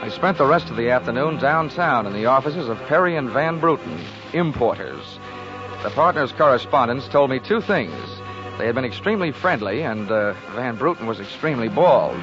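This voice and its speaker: A man's voice: medium pitch, close to an everyday voice. Man acting a wry 1940s radio private eye. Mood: brisk narrating. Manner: wisecracking, clipped. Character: wry, hard-boiled male insurance investigator. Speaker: lead actor and narrator. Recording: dull lo-fi 1940s radio recording.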